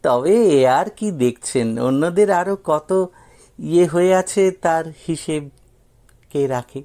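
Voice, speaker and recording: A man's voice: fairly high pitch, lively intonation, a little slow, mellow, voice pushed up. A man voicing a sly, obsequious village thief who rambles and hesitates as he makes excuses. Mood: fawning.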